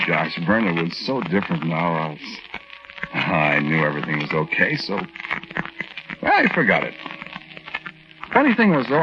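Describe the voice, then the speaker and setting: Muffled, crackly 1940s radio sound carries an anxious American ex-soldier with a low male voice. He confides his strange story haltingly, in plain colloquial talk, with lively swings of pitch. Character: nervous, haunted American war veteran telling his strange tale. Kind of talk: 1940s radio drama monologue, conversational first-person narration. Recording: noisy, muffled 1940s radio recording with clicks.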